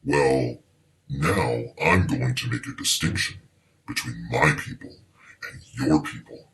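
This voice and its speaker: A man voicing God as a slow, solemn, gravelly deity in a comedy commentary. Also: extremely low pitch, a bit bright, recorded from a distance, pitched below the performer's own voice, heavily acted, low-quality recording. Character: God Jehovah, deep slow booming deity.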